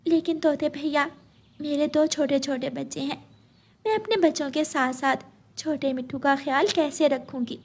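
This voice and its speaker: A woman voicing a kind, motherly sparrow with a gentle, reassuring manner in a children's cartoon. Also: high voice, steady tone, normal speed. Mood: polite, concerned. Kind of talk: children's cartoon story dubbing.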